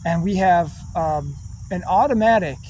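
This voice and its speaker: A man giving a rambling spiritual monologue in slow, drawn-out, meditative phrases with long pauses. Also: high-pitched, darkish tone, a little hiss or hum. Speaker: spiritual speaker.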